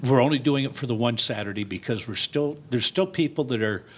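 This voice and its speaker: Older male interviewee, community theater director type, husky edge, easygoing good humor, conversational delivery. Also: mid-range voice, a little fast.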